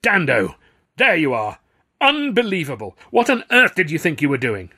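Man acting a pompous, high-pitched, indignant stage star. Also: huge pitch swings, normal speed, sharp tone, breathy edge, heavily acted. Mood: furious, spluttering. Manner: blustering, emphatic, self-important. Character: pompous, indignant male comedy star, spluttering and vain.

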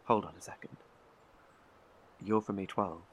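A bitter, guarded survivor acted by a man in a horror audio drama. His voice sits low, and he speaks in terse, defensive phrases weighed down with guilt. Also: fairly even intonation, unhurried. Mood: dry, guarded.

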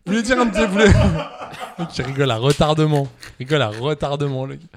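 Man, French accent, young adult, podcast host, casual banter, laughs a lot, slightly high pitch. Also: quick pace, noisy background. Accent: French from France. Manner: jokey, laughs often, stammers through restarts.

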